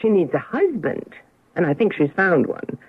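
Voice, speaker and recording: A middle-aged ex-wife in a radio play, firm and wounded, speaking with measured care. Her lines move freely up and down, and the sound is tinny and narrow, like an old broadcast. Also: on the low side, sing-song, slightly rough, no room sound. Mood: dry, cutting. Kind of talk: radio drama acting. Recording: tinny, band-limited radio drama recording.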